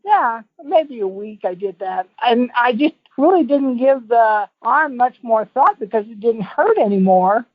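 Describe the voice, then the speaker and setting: A woman's voice: a little high, animated, measured pace, few pauses, dry recording. A woman calling in as a guest, giving a casual, rambling, folksy personal testimonial over the telephone. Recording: tinny narrow phone line.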